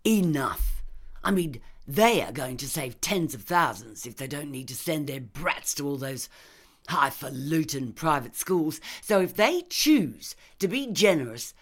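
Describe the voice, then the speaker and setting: Woman narrator playing ranting middle-class murderess, sneering, emphatic, very lively pitch, audiobook dialogue. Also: measured pace, heavily acted. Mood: smug, indignant.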